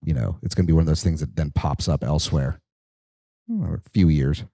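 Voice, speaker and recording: Very deep-voiced mellow man. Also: a little fast, no room sound, clean studio sound. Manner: relaxed, explanatory, casual phrasing. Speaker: podcast co-host, industry physician-scientist. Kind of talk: live panel conversation.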